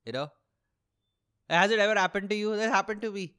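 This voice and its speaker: A man's voice: high-pitched, brisk, pushed and bright, clear, resonant tone. A young man with an Indian accent rambling casually as a solo comedy podcast host, sweary and self-deprecating, with a complaining delivery. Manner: self-deprecating, sweary, repeats and rephrases himself.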